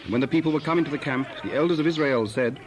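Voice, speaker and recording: A man narrating scripture from a script in a measured, formal way. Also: medium pitch, noisy background.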